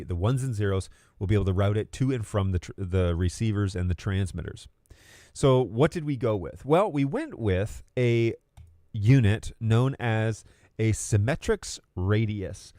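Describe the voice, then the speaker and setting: Low, mellow man explaining tech casually. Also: no room sound, clean studio sound. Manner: explanatory, informal, thinking aloud. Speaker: presenter. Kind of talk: casual live tech walkthrough.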